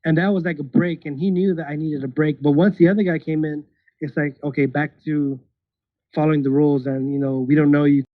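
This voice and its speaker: A man's voice: fairly high pitch, steady tone, a little fast, dry recording. A man in his thirties, a podcast guest speaking casually with hesitant restarts and nervous laughs. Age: 30s. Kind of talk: casual interview. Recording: tinny phone-like call audio.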